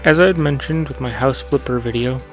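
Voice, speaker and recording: A man's voice: mid-range voice, brisk, faint music behind. A man hosting his own gaming channel, talking in a casual, meandering way and correcting himself as he goes, with a soothing voice. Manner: meandering, self-correcting with fillers. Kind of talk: casual reflective monologue.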